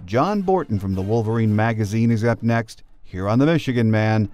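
An American man hosts a sports podcast in a fairly low voice. He delivers prepared commentary and interview questions, with light music in the recording. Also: animated, moderate pace, mellow.

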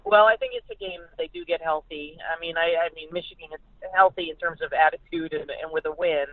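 A woman's voice: sits low, steady tone, dry recording. American woman sports reporter on a phone line. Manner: halting, repeats words. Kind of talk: conversational sports analysis. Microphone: telephone. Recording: tinny, narrow phone line.

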